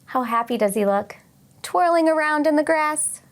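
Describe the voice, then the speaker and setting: High-voiced woman teaching young children. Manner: expressive, asks questions and pauses for imagined answers. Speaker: teacher hosting a children's read-along. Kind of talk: read-aloud and lesson addressed to young children.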